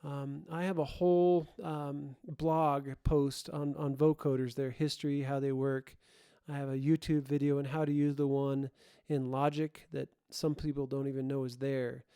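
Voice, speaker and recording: A man's voice: medium pitch, little pitch movement, moderate pace, warm tone, smooth, no room sound, studio quality. A man presenting an informal tutorial, thinking aloud and often correcting himself as he explains. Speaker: host, audio production tutorial presenter.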